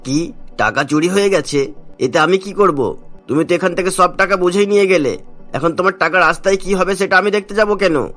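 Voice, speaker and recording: A stern landlord from a cartoon village tale, acted by a man, with a voice pitched on the high side. He is callous and easily irritated, barking dismissive orders over background music. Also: a little fast, a bit bright. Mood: angry, dismissive. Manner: scolding, dismissive, commanding. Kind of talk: Bengali cartoon story dialogue.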